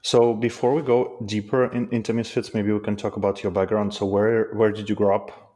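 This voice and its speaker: A man's voice: lowish voice, level tone, a little fast, warm tone. A man hosting a podcast, asking questions in a hesitant way with fillers. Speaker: podcast host. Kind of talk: interview questions.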